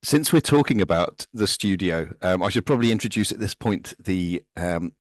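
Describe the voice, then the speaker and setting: A man's voice: low-pitched, lively intonation, moderate pace. A man co-hosting a casual music podcast, chatty and anecdotal, often stumbling over his words. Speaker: podcast co-host.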